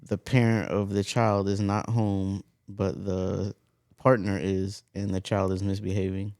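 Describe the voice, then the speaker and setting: A young man co-hosting a casual podcast, asking questions and explaining points in a steady, plain way. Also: deep voice, a little slow, no echo, studio quality. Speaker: podcast co-host. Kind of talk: casual interview chat. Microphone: close studio mic.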